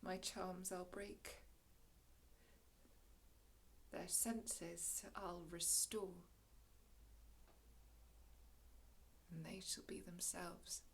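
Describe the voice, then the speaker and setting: A woman acting an aging, commanding sorcerer in a classical Shakespearean stage monologue, with measured, dramatic delivery and long pauses. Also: a little high, a little hiss or hum. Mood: hushed, forgiving.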